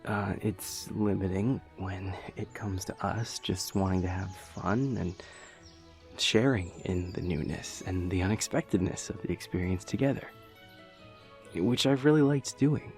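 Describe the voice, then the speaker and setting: A man's voice: sits low, measured pace, darkish tone, faint music behind. A young man playing a dorky, earnest boyfriend in an intimate audio roleplay, rambling hesitantly and nerdily with playful self-deprecation. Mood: earnest, fond. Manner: hesitant, rambling, nerdy explaining.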